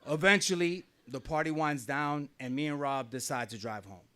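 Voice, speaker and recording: A man's voice: on the high side, normal speed, pushed and bright. A middle-aged man from New Jersey telling a wry, conversational personal story on stage at a small live venue, often laughing as he talks. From New Jersey, USA.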